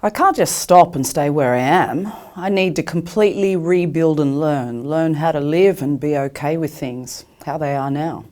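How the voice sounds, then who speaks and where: A woman's voice: low-pitched, normal speed, hardly any pauses, mellow. A woman carer giving reflective personal testimony, often repeating words for emphasis. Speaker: carer sharing personal experience.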